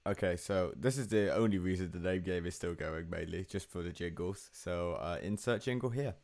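A man's voice: low-pitched, moderate pace, a little mellow, faint music behind. A young man hosting a podcast, rambling and self-mocking, laughing often in casual chat. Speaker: podcast host.

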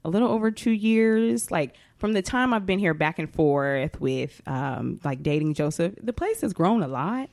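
A chatty young woman from Atlanta hosts a casual podcast, her voice sitting a little low with lively swings in pitch. She speaks close to the mic in a dry, dead room, filling her talk with easy fillers. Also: normal speed, gravelly, hardly any pauses, no room sound. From Atlanta, Georgia, US. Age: 20s. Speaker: podcast host.

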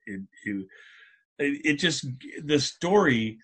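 A man's voice: on the high side, animated, slow, fairly bright tone, slightly rough. A man hosting a podcast in casual chat, talking in an informal, joking way. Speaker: podcast host.

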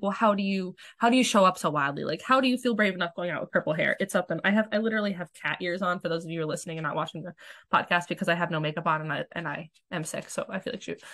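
A woman in her thirties hosting a self-help podcast at home, hoarse and raspy from a cold, talking candidly and casually and swearing freely. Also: on the low side, a bit bright. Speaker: podcast host. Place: home room with dogs.

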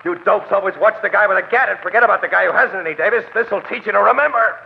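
An actor playing a tough, sardonic crime-story hero in 1940s radio style, gravelly, punchy and slangy. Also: male voice, high-pitched, racing. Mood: commanding. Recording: tinny, noisy old radio broadcast.

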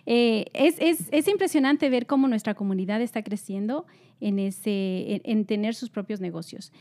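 A woman's voice: medium pitch, moderate pace, mellow. A woman co-hosting a panel talk, speaking in an upbeat, encouraging way. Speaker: co-host.

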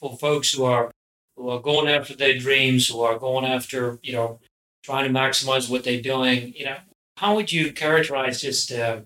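A man's voice: medium pitch, steady tone, moderate pace, sharp tone. A South African man whose first language is Afrikaans, interviewing a guest with admiring, long, winding questions. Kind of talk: interview conversation. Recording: echoey room with faint noise.

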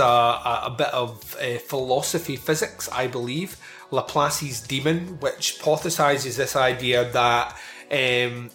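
A man talks through a film review in a loose, rambling way, with frequent fillers and the occasional curse. His voice sits in a roomy space with a clear echo. Faint music plays underneath. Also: medium pitch, fairly bright tone. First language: not Italian. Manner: rambling, with many fillers and swearing. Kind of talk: casual film review talk.

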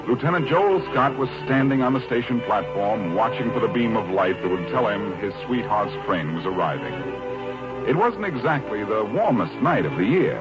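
An American man announcing and narrating in a polished, scripted 1940s radio style. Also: mid-range voice. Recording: muffled old radio recording.

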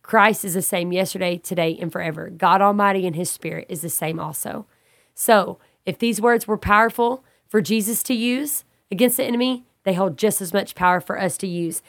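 A woman leads a Bible study with a stuffy, slightly raspy voice from a cold. She talks earnestly and steadily, repeating and restating her points as she builds them. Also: mid-range voice, moderate pace. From Texas. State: congested, a little raspy.

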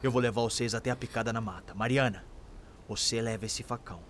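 A man's voice: mid-range voice, quick pace, slight background noise, lightly acted. An actor playing a resolute, protective enslaved young man in a radio drama, with a Brazilian accent and rustic, colloquial speech. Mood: urgent, reassuring.

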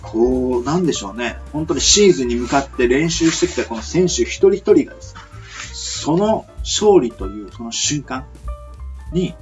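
A man hosts a homemade radio show with a very animated, swinging intonation. He speaks politely and earnestly, feeling for his words as he muses. The sound is a little muffled and lo-fi, with guitar music underneath. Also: medium pitch, moderate pace. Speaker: radio host. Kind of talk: rambling reflective monologue for an amateur radio show. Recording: dull lo-fi audio.

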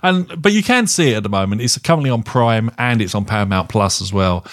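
A man casually reviewing films as a podcast co-host, rambling and halting as he circles back over his phrases. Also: on the low side, animated. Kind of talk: casual film review chat.

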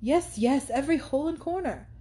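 A woman's voice: sits high, expressive, brisk, mellow, voice pushed up. A woman reading aloud and voicing a brash, boastful young man who talks over everyone. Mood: insistent. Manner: blustering, insistent.

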